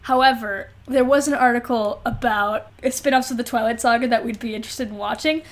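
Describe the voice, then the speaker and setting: A woman's voice: on the high side, a little fast. A woman co-hosting a podcast in casual, comedic chat, with an exclamatory, dramatic, sweary way of talking. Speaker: podcast co-host.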